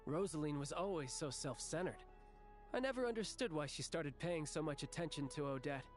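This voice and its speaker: A man's voice: on the high side, natural voice. A man playing a sly, genteel young official in a video game, speaking in a playful, articulate and theatrical way. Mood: musing, curious. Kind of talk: video game character acting.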